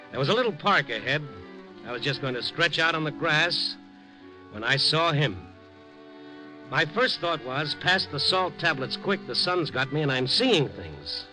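An actor playing a wry, skeptical Chicago newspaper reporter in a 1950s radio drama, with colloquial, world-weary phrasing. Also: male voice, on the high side, rough recording. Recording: old 1950s radio recording.

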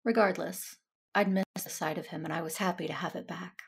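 An earnest Jedi woman, slightly creaky. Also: lowish voice, steady tone, brisk. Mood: fond. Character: dutiful Jedi woman, stern with herself, quietly longing. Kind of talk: first-person audio drama narration.

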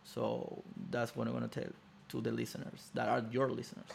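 Gravelly-voiced man in his thirties. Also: medium pitch, completely flat delivery, fairly quick, a little hiss or hum. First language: Spanish. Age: 30s. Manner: short agreeing replies, simple phrasing. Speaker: podcast guest. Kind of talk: casual interview chat.

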